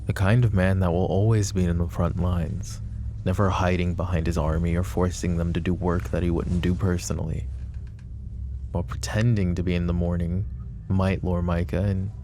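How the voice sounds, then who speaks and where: A man playing a protective, possessive mafia boss in an intimate roleplay ASMR, speaking deliberately and broodingly straight to the listener. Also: low-pitched, flat delivery, mellow, slight background noise. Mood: firm, resolute.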